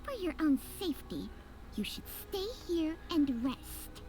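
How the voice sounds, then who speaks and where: High, lilting talking snake companion. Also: female voice, big pitch swings, unhurried, dark tone. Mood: cautioning. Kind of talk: video game voice acting. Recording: game music under the voice.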